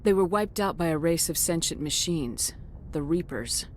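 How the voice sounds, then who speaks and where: A woman's voice: low-pitched, expressive, moderate pace, darkish tone, a little hiss or hum. A woman playing a resolute military commander in a video game, direct and commanding in manner. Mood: grave. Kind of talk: video game cutscene acting. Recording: music behind the voice.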